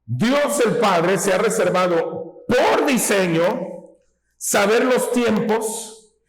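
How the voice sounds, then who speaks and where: A man's voice: high-pitched, lively intonation, slow pace. A man preaching a sermon in a folksy teaching tone, often asking his listeners whether they follow him. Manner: folksy, asks rhetorical questions, teaching tone. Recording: echoey hall.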